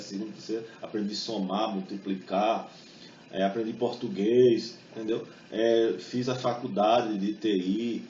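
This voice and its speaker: A young man gives a relaxed, conversational talk, dropping fillers and tag questions as he goes. He is caught from a distance in a big echoing hall, and the sound is muffled and dull with a faint hiss underneath. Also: mid-range voice. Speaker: speaker giving a talk. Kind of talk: public talk with exam study tips. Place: event hall.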